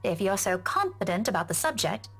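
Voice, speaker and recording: A woman's voice: mid-range voice, very animated, a little fast, gravelly, a bit bright, breathy edge, heavily acted. An actress playing a friendly, eager young woman teacher who loves history, in a visual novel game. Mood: curious, friendly.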